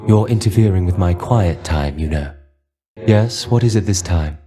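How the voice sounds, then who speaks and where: A man's voice: low voice, lively intonation, normal speed, mellow. A man voicing a weary, brooding dead Greek warrior in a video game, resigned and aloof, with formal, archaic phrasing. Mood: resigned, flat. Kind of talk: video game character dialogue.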